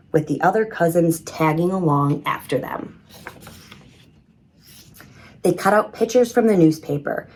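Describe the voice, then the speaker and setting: A woman reading a children's story in an expressive, measured storytelling manner. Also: on the low side, poor audio. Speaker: storybook reader. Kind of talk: scripted children's story narration.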